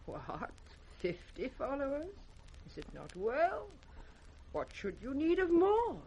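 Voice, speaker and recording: Cold, imperious princess, animated delivery. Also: female voice, high-pitched, takes it slowly, dull audio, exaggerated voice. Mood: haughty reproach. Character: cold, imperious royal daughter, hard-hearted and scornful. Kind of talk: 1960s British radio Shakespeare acting. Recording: faintly noisy vintage radio recording.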